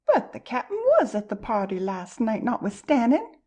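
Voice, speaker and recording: Actress as glum, animated older mother. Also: a little high, normal speed, breathy edge. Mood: explaining. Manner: glum, blunt, colloquial. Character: glum, blunt, working-class mother, older woman. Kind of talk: acted stage satire in audio.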